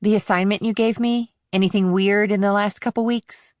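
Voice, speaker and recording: A woman's voice: medium pitch, fairly even intonation, moderate pace, darkish tone, no echo, clean studio sound, lightly acted. A woman playing a sarcastic, guarded, streetwise teenage girl in audiobook dialogue, with a flippant manner. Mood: matter-of-fact.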